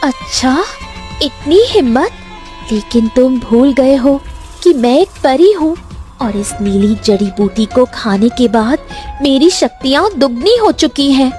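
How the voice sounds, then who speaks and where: A woman as a high, mellow noble fairy. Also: animated, takes it slowly, faint music behind. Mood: bold. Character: gentle, noble magical fairy woman, protective. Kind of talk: animated village fairy tale acting.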